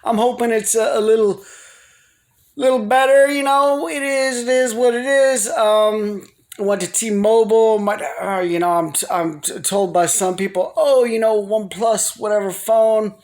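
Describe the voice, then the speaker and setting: A man's voice: high-pitched, fairly even intonation, moderate pace, a little mellow. A man rambling casually to his viewers in a folksy, slangy, playful way, as in a personal home vlog. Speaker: video blogger. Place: living room at home. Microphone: phone.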